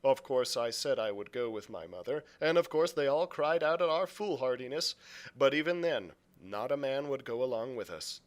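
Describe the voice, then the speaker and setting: A man narrating a storybook aloud as a librarian would, with deliberate, dramatic phrasing. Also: medium pitch, animated. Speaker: youth services librarian reading aloud.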